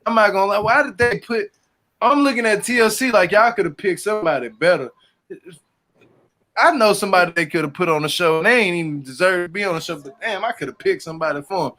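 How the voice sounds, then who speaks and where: A man's voice: sits high, fast, pushed and bright. A man hosting a casual group chat, telling loose slangy jokes and stories. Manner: loose joking storyteller, slangy and profane. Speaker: host. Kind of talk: casual group chat, reality show recap.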